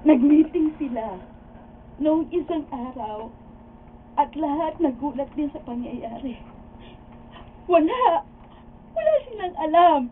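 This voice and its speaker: A tinny, phone-like recording of a radio drama. An actress plays a distressed, devoted wife with a very high voice, speaking slowly in a plaintive, weepy manner. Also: female voice, expressive, slight background noise. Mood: hurt, suspicious. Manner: plaintive, weepy, drawn-out delivery. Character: distressed loyal wife confiding tearfully in a friend. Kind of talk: radio drama acting in Tagalog.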